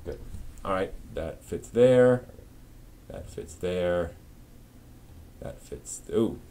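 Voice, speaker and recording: A man's voice: low voice, lively intonation, slow, dark tone. A man hosting a casual live stream, rambling and often muttering to himself as he works.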